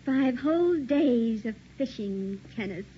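Actress as a high-voiced devoted wife. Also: female voice, expressive, takes it slowly. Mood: cheerful, doting. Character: devoted, anxious young wife, doting and emotional. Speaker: radio drama actress. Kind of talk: early 1960s radio acting. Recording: muffled, hissy old radio recording.

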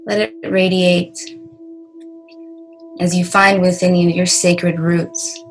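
A woman leading a guided meditation in a soothing, deliberate way, leaving long pauses between short phrases. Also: fairly low pitch, flat delivery.

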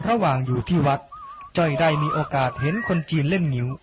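A young man reading a scripted narration for an animated story. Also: on the high side, brisk, background noise. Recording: loud music under the voice. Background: loud background music.

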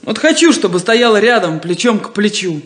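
An actress playing a proud, serious, emotional farm daughter in a 1970s Soviet radio play. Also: female voice, mid-range voice, big pitch swings, moderate pace, natural voice. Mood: hurt, defiant. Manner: indignant, emotional.

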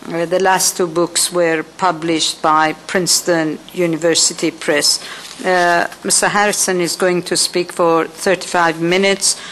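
A woman's voice: fairly low pitch, level tone, measured pace, a bit bright, a little hiss or hum, poor audio. A woman moderating an event who gives a formal, hesitant introduction with many fillers.

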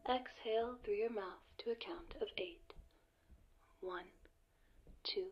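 A woman puts on a serene announcer for a guided relaxation in an ASMR roleplay. Her delivery is very slow, calm and measured, in a breathy half-whisper. A faint hiss sits under the voice. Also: medium pitch, dull audio.

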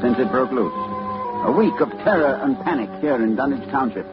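Male actor as a commanding radio-drama professor, urgent and hushed by turns, animated delivery, dull old recording. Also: medium pitch, brisk, loud music behind the voice, lightly acted. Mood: grave. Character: grave middle-aged male scholar Doctor Armitage. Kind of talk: 1940s radio acting. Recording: muffled old radio broadcast with hiss.

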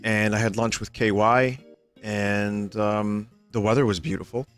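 A young man, a little hoarse and tired, chatting casually and rambling to his stream viewers from home. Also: lowish voice, fairly even intonation, measured pace, fairly bright tone, faint music behind. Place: home room.

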